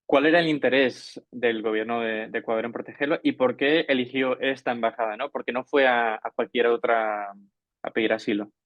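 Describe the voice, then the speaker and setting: A man's voice: mid-range voice, steady tone, a little fast, no echo, dull audio. A man hosting an interview, introducing his guest and putting questions to him. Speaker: interviewer, show host.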